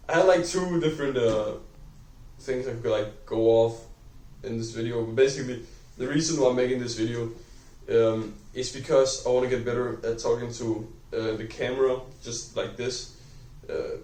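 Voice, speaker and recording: A young man with a Danish accent talks unscripted to a camera, rambling and filling every pause with "like" while he corrects himself. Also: medium pitch, dark tone, distant mic. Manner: rambling, heavy use of "like", self-correcting. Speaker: vlogger. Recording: echoey room, voice off-mic.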